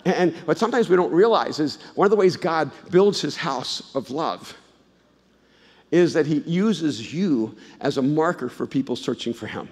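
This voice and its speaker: A middle-aged pastor from El Salvador preaching in a large church auditorium, his fairly high voice carrying a long hall reverb. He swings between loud, emphatic bursts and quiet, close asides, and he tells stories with frequent restarts. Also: lively intonation, moderate pace. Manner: conversational storytelling with repeated restarts. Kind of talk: sermon.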